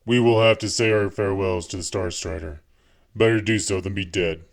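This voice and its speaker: A man's voice: sits low, fairly even intonation, brisk, natural voice. A man playing a commanding alien leader in an audio drama, urgent and dramatic. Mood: sorrowful. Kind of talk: fan audio drama acting.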